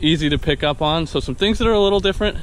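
A man's voice: a little high, very fast, slight background noise, rough recording. A young man recording a casual review, talking enthusiastically to a handheld camera outdoors, rambling with fillers and restarts. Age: young adult. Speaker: vlogger and new private pilot. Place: outdoors on a wooded dirt path. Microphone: handheld action camera at arm's length.

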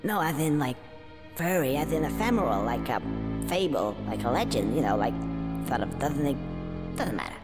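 A cocky cartoon red squirrel. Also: male voice, medium pitch, very animated, moderate pace. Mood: nervous. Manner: flippant, rambling and sarcastic. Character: cocky male red squirrel, foul-mouthed antihero. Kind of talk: video game cutscene acting. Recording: reverberant with game music behind.

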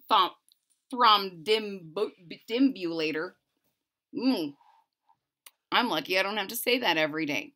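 A woman's voice: fairly high pitch, sharp tone. A woman in her forties reading a children's picture book aloud expressively, stressing key words theatrically and adding casual asides. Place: small room at home.

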